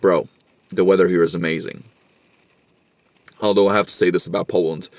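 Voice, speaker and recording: A man's voice: low-pitched, steady tone, fairly quick, no echo. A young man with a slightly Scandinavian-tinged English accent, chatting casually to camera, repeating himself and calling the listener bro. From Norway. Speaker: vlogger.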